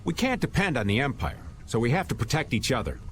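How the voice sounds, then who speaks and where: Game know-it-all adventurer played by a man, middle pitch, lively intonation, easygoing, slight background noise. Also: fast talker, close to an everyday voice. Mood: casual, informative. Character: worldly, knowledgeable male adventurer, easygoing explainer. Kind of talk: video game voice acting, exposition.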